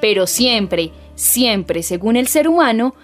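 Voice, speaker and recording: Colombian woman reading a radio script, highish voice, bit of music behind. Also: animated, takes it slowly. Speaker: radio presenter. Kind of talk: scripted narration.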